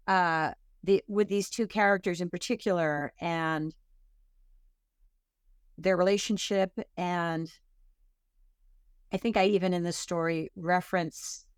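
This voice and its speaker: A woman with a smooth, lowish voice talks through her ideas at an easy pace, stopping to rephrase as she goes. The sound is close and dry, with no room around it. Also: fairly even intonation, measured pace, long pauses, dry recording. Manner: thoughtful, self-correcting, searching for words. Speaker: author guest. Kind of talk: podcast interview answers.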